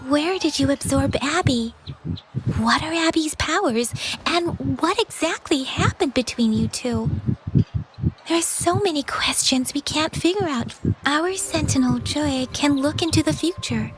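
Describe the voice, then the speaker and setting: A woman playing an earnest, polite young female guide in an anime game, speaking in a gentle, thoughtful, explanatory way. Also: high-pitched, animated, unhurried, slight background noise, poor audio. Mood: puzzled.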